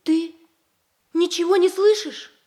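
A woman's voice: very high voice, steady tone, slow, a little mellow. An actress playing a coy, pouting young princess in love, girlish and teasing, in a theatrical radio play.

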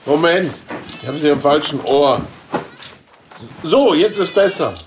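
Gruff boss, pushy, dismissive, wheedling, radio satire. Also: male voice, a little high, lively intonation, unhurried, background noise. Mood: groggy, curt.

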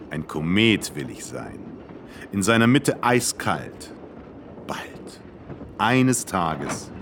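An actor playing a weary small-time crook and variety artist in a German radio play, theatrical and resigned. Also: male voice, low voice, fairly bright tone, slight background noise, full character voice.

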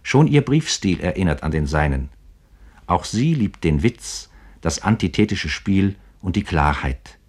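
A man narrating a scripted literary radio programme in a measured, formal manner. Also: deep voice, big pitch swings, darkish tone, husky.

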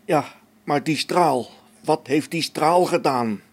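A man's voice: fairly high pitch, takes it slowly. An actor playing a harried space station engineer in a radio play, thinking aloud as he reasons things out. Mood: alarmed.

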